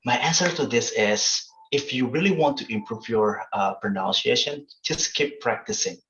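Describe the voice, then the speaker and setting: A man's voice: medium pitch, normal speed, fairly bright tone, slight background noise. The sound is roomy and slightly dull, like an online call. A man with an Indonesian accent explains things informally as a presenter, in a conversational way that often seeks agreement. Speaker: webinar presenter. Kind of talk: casual explanatory online talk with examples.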